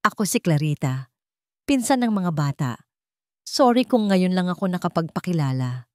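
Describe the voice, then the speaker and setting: A woman storyteller acts out a friendly young woman in a family drama. She talks softly and reassuringly, in a gentle manner, with a dry, close sound. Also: lowish voice, big pitch swings, moderate pace, dry recording. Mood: gentle, comforting. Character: gentle, soft-voiced young woman, friendly adopted cousin.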